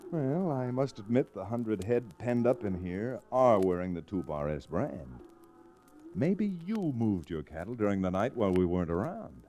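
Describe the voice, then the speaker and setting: Wry, confident cowboy lawyer, lively delivery. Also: male voice, lowish voice. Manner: brisk, emphatic, sardonic. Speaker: lead actor and first-person narrator. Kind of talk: old-time radio Western acting.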